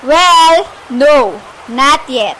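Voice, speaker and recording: A woman's voice: high voice, lively intonation, drawn-out words, sharp tone, exaggerated voice, rough recording. A woman playing an anxious, demanding, indignant married woman on the telephone in an amateur radio play. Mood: flustered. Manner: fretful, demanding, exclaiming. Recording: faint background noise, amateur home recording.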